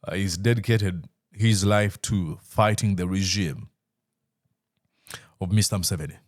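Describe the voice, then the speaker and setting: A man's voice: deep voice, fairly even intonation, unhurried, dry recording, clean studio sound. A man from Uganda hosting a political talk show, speaking in a discursive way with frequent "uh" fillers and easy laughter. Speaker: host.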